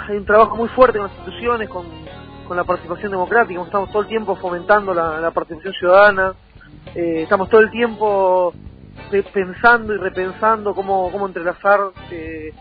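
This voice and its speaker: A man's voice: sits high, fairly even intonation, fairly quick, phone-quality sound, soft background music. A man in his thirties with a Rioplatense Argentine accent, answering conversationally as a political candidate in a radio interview, often repeating words as he goes.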